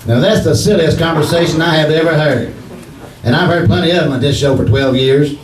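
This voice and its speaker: A man's voice: fairly high pitch, a little fast, natural voice. A man playing a blustering, pompous husband with affectedly fancy speech in a 1940s-style radio comedy. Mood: chatty. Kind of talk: 1940s radio comedy acting before a live audience. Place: live theatre stage. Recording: echoey stage, distant mic, noisy.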